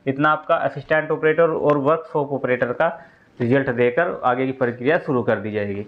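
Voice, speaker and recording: A man's voice: mid-range voice, normal speed, muffled recording, slight background noise. A man giving an informal explainer to students as a presenter, going step by step and repeating key points. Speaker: exam news presenter explaining updates to candidates.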